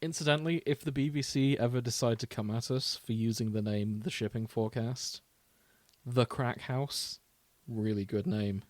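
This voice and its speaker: A man's voice: mid-range voice, moderate pace, a little mellow, no room sound. A man co-hosting a podcast in casual chat, with a jokey, giggly manner. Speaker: podcast co-host.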